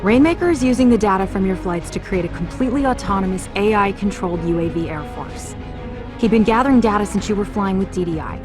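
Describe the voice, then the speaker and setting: A woman's voice: medium pitch, fast, natural voice. Earnest military woman over music, acted. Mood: serious. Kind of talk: video game cutscene dialogue. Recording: music clearly behind the voice.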